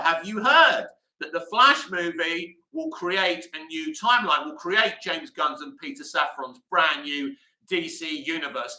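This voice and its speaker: A man's voice: a little high, pushed and bright, reverberant, low-quality recording. A man from the UK hosting a live stream, chatting and answering viewer comments as he reads them out. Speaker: host.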